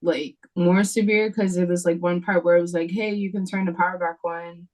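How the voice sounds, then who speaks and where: Lower-voiced woman chatting informally. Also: fairly even intonation, moderate pace, a little mellow, low-quality recording. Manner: informal, frequent "like", loose slangy phrasing. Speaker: actor giving script feedback. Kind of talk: casual chat. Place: remote video call. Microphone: video call.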